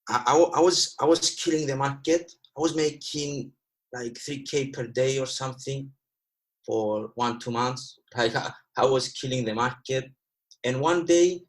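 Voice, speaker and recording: Greek-speaking young Cypriot man. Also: mid-range voice. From Cyprus. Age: 20s. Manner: earnest, repeats himself, addresses viewers as guys. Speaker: guest trader and educator. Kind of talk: casual livestream talk.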